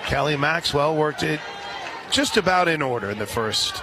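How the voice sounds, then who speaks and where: An American man doing live radio play-by-play commentary of a softball game. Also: fairly high pitch, expressive, moderate pace, background noise. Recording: outdoor stadium broadcast with crowd and music. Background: ballpark crowd and music.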